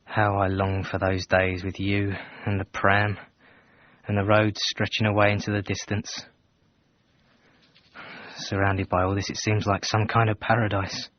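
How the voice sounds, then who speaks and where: An actor playing a wistful, devoted English soldier reciting a letter from the front, husky, reflective and measured, in a radio drama. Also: male voice, lowish voice, completely flat delivery, highs cut off, a little hiss or hum, close to an everyday voice.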